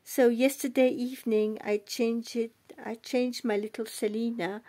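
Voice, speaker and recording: An English-born woman talking casually in a vlog, speaking slowly and going back and forth between English and French. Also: fairly high pitch. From England. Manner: soft, unhurried, switches between English and French. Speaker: doll collector vlogger. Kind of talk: casual bilingual vlog chat.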